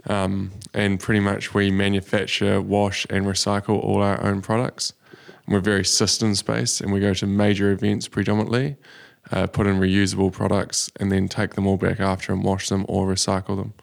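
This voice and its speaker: Gravelly, flat-voiced New man. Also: lowish voice, moderate pace, few pauses. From New Zealand. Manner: laid-back, storytelling, many ums. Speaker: guest, business founder. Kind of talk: casual interview. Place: studio.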